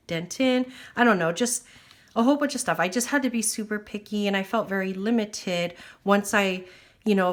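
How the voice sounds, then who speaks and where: A woman chatting casually about her planner while recovering from an illness, her voice slightly wheezy, with lots of fillers. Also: medium pitch. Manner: chatty, filler-heavy, apologetic. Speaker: planner vlogger. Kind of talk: casual chat. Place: at a table indoors.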